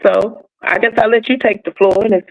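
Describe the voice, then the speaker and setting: A woman chats on a live stream. She is easygoing and unhurried, asking plain, simple questions as she keeps an eye on the chat. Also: medium pitch, fairly even intonation, vocal fry, muffled recording. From North Carolina. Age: 50s. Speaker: guest co-host.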